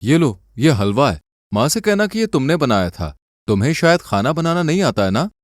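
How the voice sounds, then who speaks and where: A man voicing an earnest, protective young husband with a firm, sincere manner in an animated moral story. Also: on the high side, moderate pace, dry recording, clean studio sound. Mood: gentle.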